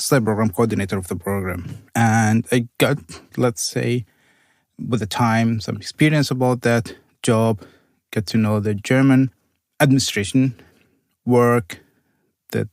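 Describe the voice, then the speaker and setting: Gravelly-voiced man, Arabic native speaker. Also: mid-range voice, steady tone, measured pace, dry recording, studio quality. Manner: careful, searching for words, slightly non-native phrasing. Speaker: university program coordinator. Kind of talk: conversational interview answers.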